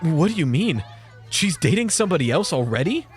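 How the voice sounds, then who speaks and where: Lead male, played by an actor: lonely, awkward, self-deprecating security guard, expressive, horror dub with background music. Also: fairly high pitch, brisk. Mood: hurt. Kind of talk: dramatized horror comic dub.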